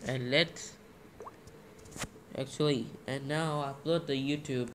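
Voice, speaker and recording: A man presenting a casual screen-recording tutorial in halting, fragmented steps. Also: medium pitch, fairly even intonation. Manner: halting, fragmented step-by-step speech. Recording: noisy amateur tablet screen recording.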